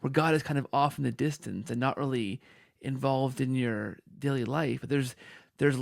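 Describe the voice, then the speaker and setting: A man's voice: mid-range voice, normal speed, vocal fry, no echo, studio quality. A man hosting a conversational interview, feeling his way through long questions with repeated words and restarts. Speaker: podcast host interviewer.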